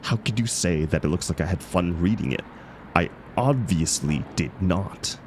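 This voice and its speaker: A man's voice: sits low, expressive, normal speed, a little hiss or hum. A man acting a grumpy, exasperated book club member in an audio roleplay, sarcastic, emphatic and indignant. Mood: grudging, defensive. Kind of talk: scripted audio roleplay acted as one side of a conversation.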